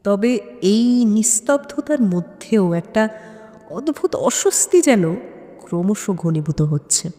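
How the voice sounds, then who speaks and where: A woman narrating a scripted suspense story in an expressive, dramatic manner. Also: mid-range voice, slow pace. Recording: long hall-like reverb.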